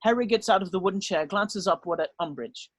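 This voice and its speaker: Male table-read narrator, notably high voice, close dry recording, reading scripted scene directions. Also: fairly quick, dry recording.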